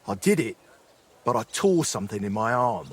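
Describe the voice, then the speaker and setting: A middle-aged former smith worn down into mining, in a dramatic game. His voice is husky and very animated in pitch. He talks in an earthy, colloquial way, full of rueful apology. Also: moderate pace.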